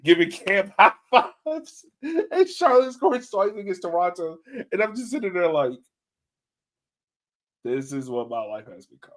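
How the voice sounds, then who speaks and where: A man co-hosting a sports podcast in casual conversation, informal and a little halting as he thinks aloud. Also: on the high side. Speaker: podcast co-host.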